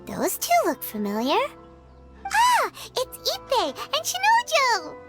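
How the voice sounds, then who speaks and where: A woman voicing a small, excitable fairy-like companion girl in a video game, with a squeaky childlike voice and bubbly, chatty manner. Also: takes it slowly, over loud music, heavily acted. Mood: cheerful, explaining. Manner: bubbly, exclamatory, refers to herself in third person. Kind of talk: animated video game dialogue.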